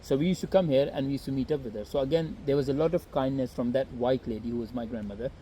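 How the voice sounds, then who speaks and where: Young man from Pakistan raised in Saudi Arabia, rapid rambling talk, mellow dark tone, faint street noise outdoors. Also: mid-range voice, low-quality recording. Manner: earnest, rambling with many fillers and restarts. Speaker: interview guest. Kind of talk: casual outdoor interview.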